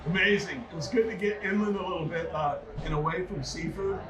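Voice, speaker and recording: A man's voice: sits high, fairly quick, crowd noise. A man from North Carolina chatting informally as an interview guest, enthusiastic and a bit rambling. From North Carolina, United States. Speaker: guest, radio hobbyist. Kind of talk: casual on-camera interview chat. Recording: roomy echo with music behind.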